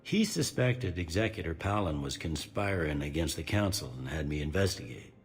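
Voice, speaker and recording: A man's voice: extremely low pitch, lively intonation, moderate pace, faint music behind. A man playing a weary, candid middle-aged police commander in a video game, plain-spoken and wry. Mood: wry, matter-of-fact.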